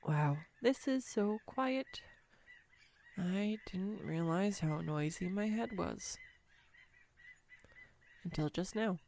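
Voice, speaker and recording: A woman's voice: mid-range voice, lively intonation, slow, long pauses, darkish tone, a little hiss or hum. A woman playing a clumsy, sweetly self-deprecating young woman on a date, in an intimate, earnest romantic audio roleplay. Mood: tender, sheepish.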